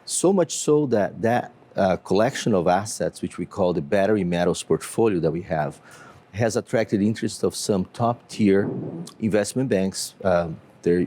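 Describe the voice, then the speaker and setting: A man from Brazil with a Brazilian accent, a company CEO explaining his business in an interview in a measured way with frequent fillers. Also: low-pitched, mellow, a little hiss or hum.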